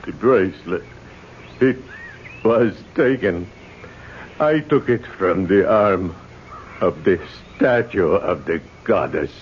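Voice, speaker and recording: Vengeful bereaved father, slow, lowish voice, solemn and terse, old radio drama, muffled recording. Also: animated, background noise. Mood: defeated.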